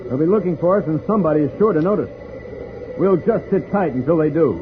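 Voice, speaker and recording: A man playing a calm, reassuring spaceman hero in an old-time radio serial, speaking with clear projection. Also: on the high side, fast, music in the background, close to an everyday voice. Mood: calm, resolute. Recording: noisy, narrow-band vintage radio recording.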